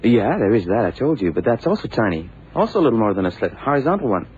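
Animated, sceptical radio-mystery sidekick. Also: male voice, fairly low pitch, fast talker. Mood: incredulous. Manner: colloquial, incredulous, protesting. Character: sceptical, puzzled male sidekick, plain-spoken and excitable. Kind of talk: 1970s radio drama acting. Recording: tinny, noisy old radio recording.

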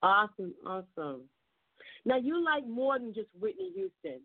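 A woman's voice: a little high, very animated, moderate pace. Enthusiastic woman host, tinny line. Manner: enthusiastic, repeats words for emphasis. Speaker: interview host. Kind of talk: interview conversation. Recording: tinny phone-like audio, dry.

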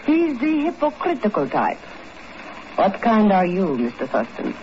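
A woman's voice: medium pitch, wildly swooping pitch, measured pace, darkish tone. An actress playing a coolly teasing, sardonic woman intriguer in 1950s radio drama. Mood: arch, teasing. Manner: arch, sardonic. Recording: dull, noisy 1950s radio recording.

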